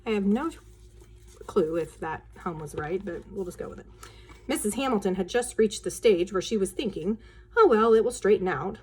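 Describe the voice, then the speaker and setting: A woman reading a children's story aloud in an expressive narrating style, with casual asides. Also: mid-range voice, moderate pace, slightly rough.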